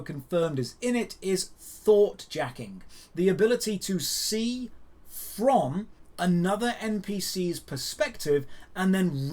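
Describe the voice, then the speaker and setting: A man hosting a gaming news show, reading scripted updates to viewers in an emphatic, wry way. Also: a little high.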